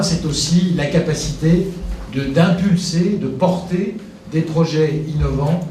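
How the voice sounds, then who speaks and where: Man giving a formal speech, echoey and distant. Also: mid-range voice. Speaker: local elected official. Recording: echoey room, distant mic, background noise.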